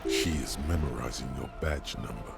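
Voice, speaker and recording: An actor voicing a game's inner-voice narrator, gravelly and very deep, with a dry, deadpan, observational delivery. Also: male voice, moderate pace, full character voice. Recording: game audio under a streamer, dull lo-fi, music behind.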